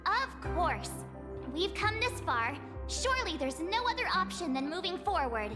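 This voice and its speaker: A woman's voice: very high-pitched, big pitch swings, normal speed. A woman voicing an eager, determined young girl adventurer in a video game. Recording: long reverb, music underneath.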